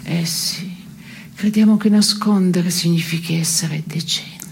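Fields: Sex: woman. Role: blunt, deadpan daughter. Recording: noisy. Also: fairly low pitch, a little slow, gravelly. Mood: bleak, deadpan. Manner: terse, deadpan. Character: blunt, disenchanted daughter recounting family indignities. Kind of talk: stage-play dialogue for radio.

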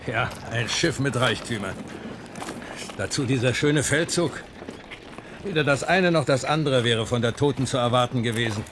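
Actor as a disapproving, loyal royal retainer. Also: male voice, mid-range voice, moderate pace, noisy background, natural voice. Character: disapproving old retainer mourning his late mistress. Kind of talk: German radio play acting. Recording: 1970s radio drama, faint outdoor ambience.

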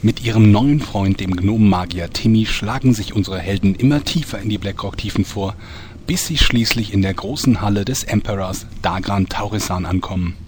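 An actor playing the narrator of a heroic fantasy saga in a parody audio drama. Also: male voice, fairly low pitch, moderate pace, darkish tone, a little hiss or hum, close to an everyday voice. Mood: epic, steady.

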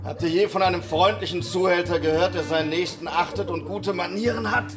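An actor playing a flamboyant, roguish pimp and street performer in a German radio drama, grand, theatrical and amused. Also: male voice, high voice, fairly quick, natural voice. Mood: boastful, amused. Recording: music under the voice. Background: music.

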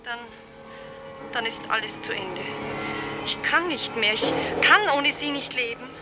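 Adoring, servile heroine, high voice, fervent melodrama, echoing old radio sound over music. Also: female voice, expressive, a little slow. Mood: despairing. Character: devoted, submissive woman pleading with her idolized master. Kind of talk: 1950s German radio play acting.

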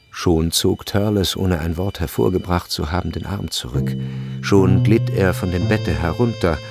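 Guy narrating a literary radio drama, low croaky voice, measured and serious, soft music behind.

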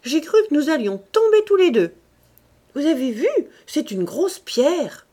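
A woman voicing a fussy high-society lady who speaks in excited, dramatic exclamations in a classic novel reading. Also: measured pace. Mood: agitated.

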